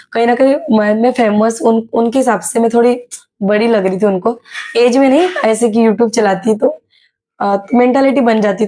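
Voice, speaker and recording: A young woman from Maharashtra with a slightly sore, hoarse throat, telling a casual vlog story with many hesitations. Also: medium pitch, warm tone. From Maharashtra, India. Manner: chatty, many hesitations and English words mixed in. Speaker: YouTuber sharing her exam experience.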